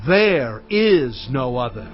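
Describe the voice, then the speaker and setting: A man's voice: mid-range voice, sing-song, slow. An actor playing an earnest prophet who addresses his people with emphatic oratory in a dramatized scripture reading. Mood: earnest exhortation. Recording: slightly dull sound, faint music behind. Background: faint music.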